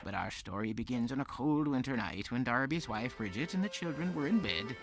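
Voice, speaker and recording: A man's voice: medium pitch, expressive, brisk, music in the background, close to an everyday voice. A man playing a genial parish storyteller, telling a fireside tale theatrically. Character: genial Irish parish storyteller, Mister Clancy.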